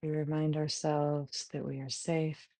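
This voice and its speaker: Female meditation guide, mellow and even, gentle short phrases with long pauses, close dry sound. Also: sits low, dry recording. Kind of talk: guided body scan meditation.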